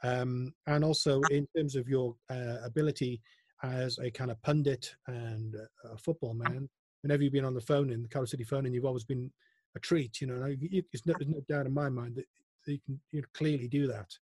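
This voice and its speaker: A man's voice: mid-range voice, steady tone, a little fast, dry recording. A male host interviewing a guest in a friendly way, often stumbling over restarts of his words. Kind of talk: casual interview.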